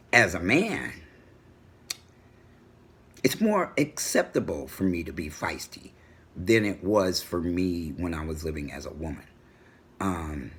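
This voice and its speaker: Middle-aged guy vlogging from home, deep husky booming voice, feisty and assertive. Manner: assertive, animated storytelling. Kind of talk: personal vlog monologue. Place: home room with a world map on the wall.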